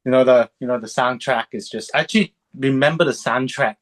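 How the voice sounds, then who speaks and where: A man's voice: medium pitch, brisk, a bit bright. A man in his thirties, born in Hong Kong and raised in Sydney, chatting as a film critic being interviewed in an easy, rambling way with lots of fillers and restarts. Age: 30s. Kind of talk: casual interview chat.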